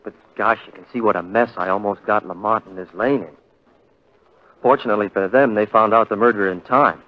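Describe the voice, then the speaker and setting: A man acting as a condemned man who tells his tale in a folksy, rueful way. His low voice is chatty and a little hesitant. It comes through a dull, muffled 1940s radio recording. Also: brisk, lightly acted. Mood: rueful, confiding. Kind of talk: 1940s radio drama, folksy first-person storytelling.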